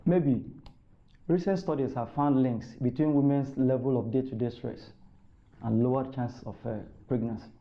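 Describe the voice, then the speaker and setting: An actor playing a calm, measured, reassuring male doctor in a naturalistic screen drama. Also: mid-range voice, muffled recording, natural voice.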